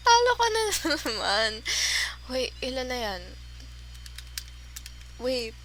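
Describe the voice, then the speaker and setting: Casting: woman as teasing, affectionate gamer girlfriend, Filipino accent, slow delivery, ASMR roleplay with noticeable background noise. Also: sits high, wildly swooping pitch, sharp tone, full character voice. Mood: teasing, excited. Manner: teasing, giggly, sighing, mixes English into Tagalog.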